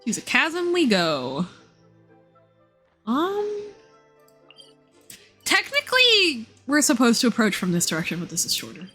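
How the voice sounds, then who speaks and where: Lively, bright-voiced woman streaming a game. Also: on the high side, measured pace, long pauses, slightly rough, soft background music. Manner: giggly, playful, sarcastic asides, swears. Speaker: game livestreamer. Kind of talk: casual chat, reacting to game story while playing.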